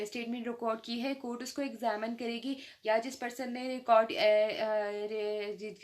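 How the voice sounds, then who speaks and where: Pakistani lady explaining law stuff like a tutor, highish voice, halting, drops in english terms. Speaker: law lecturer. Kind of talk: explanatory lesson mixing in English legal terms.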